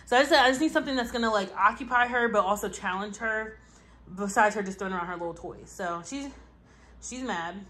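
A woman's voice: medium pitch, brisk, fairly bright tone. A young woman, tired, chatting casually to her vlog audience with lots of fillers and rambling asides. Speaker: vlogger. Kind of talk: casual chat to camera.

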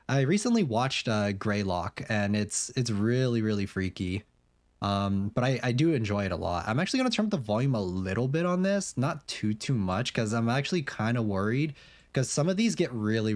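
A young man streams a horror game, talking loosely and rambling through fillers and restarts. His voice sits at a middle pitch. The sound is dry and close to the mic. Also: dry recording. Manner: chatty, rambling, many fillers and restarts, reads questions aloud. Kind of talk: casual game commentary.

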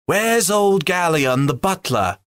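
A lively troop of young male elves, jovial and mocking, call out in bright, raised voices, as a male narrator plays them. Their speech swings widely up and down as they jeer. The sound is dry and close. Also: high voice, takes it slowly, no room sound, pitched above the performer's own voice, heavily acted. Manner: jeering, jovial. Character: merry young male elves, laughing and teasing.

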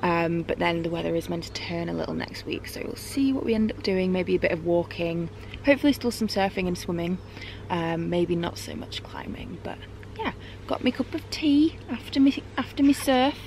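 Young woman vlogger, relaxed and chatty, filmed at arm's length in a van, faint background noise. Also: lowish voice, normal speed, creaky. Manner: relaxed, chatty with many ums. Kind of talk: casual vlog chat. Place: inside a camper van. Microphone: camera at arm's length.

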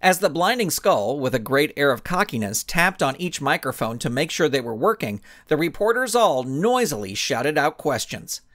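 A man reading his own children's story aloud, narrating with animated storytelling. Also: fairly high pitch, normal speed, hardly any pauses. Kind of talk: audiobook narration.

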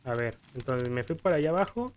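A man's voice: medium pitch, very animated, fairly quick, noisy background. A man casually commenting while he plays a video game, thinking aloud and using lots of diminutives. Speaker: gaming YouTuber. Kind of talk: casual let's play commentary. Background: game music.

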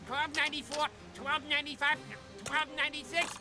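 A woman's voice: high voice, steady tone, fairly quick, lightly acted. A woman voicing a small, cheeky, feisty talking scorpion in a cartoon, with a brash wisecracking manner. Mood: determined, brash. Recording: loud music behind voice.

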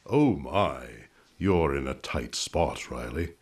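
A man's voice: very deep voice, lively intonation, slow, a little mellow, slightly rough, exaggerated voice. A man playing a gloomy, genteel undertaker with solemn, formal diction in an old-time radio comedy. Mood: solemn, morbid.

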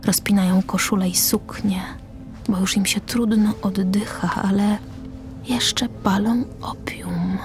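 A woman's voice: medium pitch, steady tone, slow, a little mellow, faint music behind. A woman reading stage directions as the narrator of a scripted radio play.

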